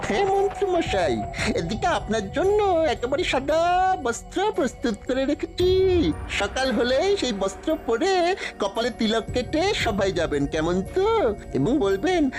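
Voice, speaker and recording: A woman's voice: sits high, very animated, measured pace, soft background music. A woman voicing a sly, greedy henchman in a cartoon, coaxing and wheedling as part of a plot. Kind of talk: exaggerated cartoon voice acting.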